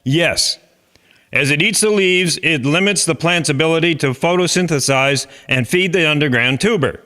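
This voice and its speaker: A man's voice: on the high side, moderate pace, sharp tone. A man playing an earnest chairman of a farmers' agricultural society in a historical drama, speaking formally and instructively.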